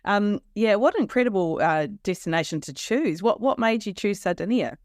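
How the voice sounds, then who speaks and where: A woman's voice: mid-range voice, expressive, moderate pace, a little mellow, no echo, studio quality. Chatty Australian woman podcast host. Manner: enthusiastic, chatty with restarts. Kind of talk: casual interview chat.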